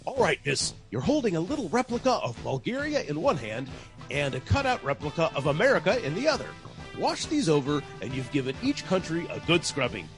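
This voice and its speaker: A man playing a brisk, scheming advertising pitchman in a comic audio sketch. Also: sing-song, soft background music. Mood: brisk, instructive. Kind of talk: parody ad agency sales patter.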